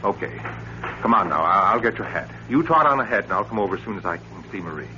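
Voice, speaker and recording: Man acting an animated, cautious friend in radio suspense. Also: fairly low pitch, fast, lightly acted. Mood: resigned, reassuring. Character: alarmed, cautious, loyal friend and husband. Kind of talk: 1940s radio thriller acting. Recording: muffled old radio broadcast, faint hum.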